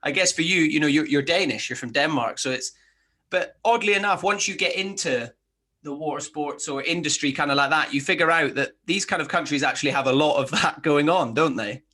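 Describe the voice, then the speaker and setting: A man's voice: fairly high pitch, fairly quick, bright, forceful tone. A man hosting a podcast in a casual, matey and enthusiastic interview style. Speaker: podcast host.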